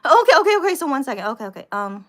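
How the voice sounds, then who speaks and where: An American woman hosting a casual livestream, rambling to viewers and repeating herself with animated emphasis, sounding somewhat tired. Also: high-pitched, racing. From United States. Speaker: livestream host, vlogger.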